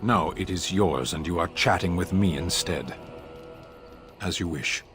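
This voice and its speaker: An actor playing a blunt, dutiful warrior who speaks in a flat, terse, literal way, with game music behind him. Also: male voice, low voice, measured pace. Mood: stern. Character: stern giant male warrior, blunt and dutiful.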